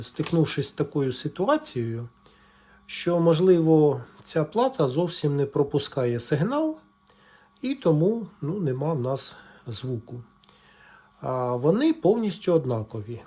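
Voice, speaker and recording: A man casually walking viewers through a hands-on electronics repair, explaining haltingly as he thinks aloud. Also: on the high side, warm tone. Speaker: electronics repair video presenter.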